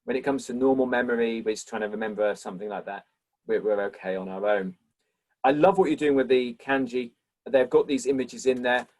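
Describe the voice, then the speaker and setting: A man's voice: mid-range voice, fairly quick, a little mellow. A man who lectures answers audience questions in an online Q&A, speaking in a thoughtful, appreciative manner. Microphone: video call.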